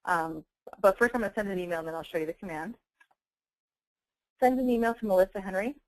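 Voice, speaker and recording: A woman giving a webinar software demonstration, enunciating clearly as a presenter. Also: mid-range voice, quick pace, no echo, poor audio. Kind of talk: webinar product demonstration with dictation. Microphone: close handheld speech mic.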